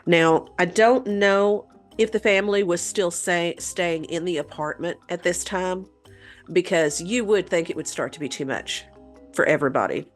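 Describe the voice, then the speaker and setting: A woman's voice: on the low side, moderate pace, soft background music. A woman co-hosting a talk show, telling a story in a conversational way. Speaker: podcast host telling a paranormal story.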